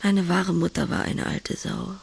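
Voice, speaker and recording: Creaky-voiced, tender countrywoman. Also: lowish voice, measured pace, slight background noise. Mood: hushed, reflective. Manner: hushed, intimate, often half-whispering. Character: blunt, tender pig-farming countrywoman in love. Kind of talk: naturalistic audio drama acting.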